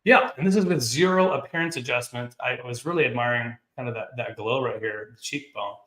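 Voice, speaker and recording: A man's voice: medium pitch, a little fast, fairly bright tone. Late-thirties male host, breezy and joking, on a roomy video call. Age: 30s. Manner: jokey, upbeat, self-deprecating. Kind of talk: casual video-call chat.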